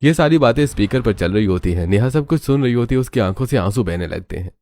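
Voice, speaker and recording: A man's voice: medium pitch, animated, fast, dark tone, no echo. A man narrating a scripted family drama story in a dramatic storytelling style.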